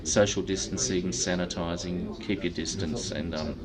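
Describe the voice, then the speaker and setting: Low-voiced man hosting in a busy café. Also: moderate pace, poor audio. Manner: relaxed, with many ums and uhs. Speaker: livestream host. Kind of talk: casual news roundup and interview. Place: busy coffee shop. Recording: café crowd chatter behind.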